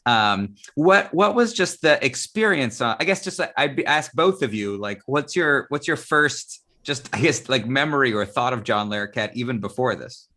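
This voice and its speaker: Male interview host, bright forceful voice, mid pitch, informal and rambling. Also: lively intonation, moderate pace, hardly any pauses. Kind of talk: casual chat.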